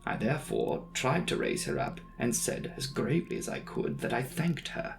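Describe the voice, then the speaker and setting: A man narrating a classic novel as a dramatic audiobook, with measured, formal, theatrical phrasing. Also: medium pitch, huge pitch swings, gravelly, background noise.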